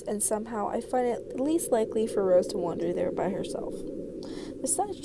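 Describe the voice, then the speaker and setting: A woman's voice: a little high, noisy background. A woman reading a visual novel aloud as a game video creator, narrating dramatically and expressively with casual asides.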